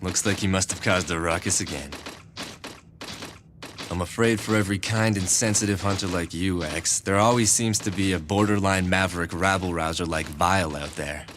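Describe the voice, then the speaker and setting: An actor playing a reflective, soft-spoken male robot hunter in a video game cutscene. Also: low-pitched, steady tone, moderate pace, noisy background, close to an everyday voice.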